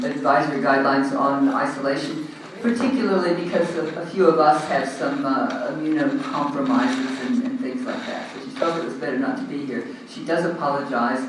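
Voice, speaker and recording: A man with an Australian accent chairing a community group's meeting, speaking loudly and casually with many ums into a handheld microphone. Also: fairly high pitch, noisy background. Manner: loud, informal, hesitant with frequent ums. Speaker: meeting chair standing in for the president. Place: large echoey hall. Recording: echoey hall, recorded from a distance.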